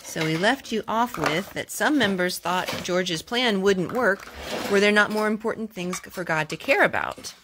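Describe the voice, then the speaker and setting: A woman's voice: medium pitch, normal speed. Woman reading to children, noisy home recording. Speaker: host of a children's storytime. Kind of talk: read-aloud storytelling for young children.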